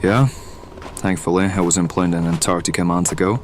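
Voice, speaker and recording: An actor playing a pragmatic, seasoned survivalist hireling in an audio drama, matter-of-fact and instructive. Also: male voice, sits low, fairly even intonation, normal speed, slight background noise, close to an everyday voice. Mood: instructive, unruffled.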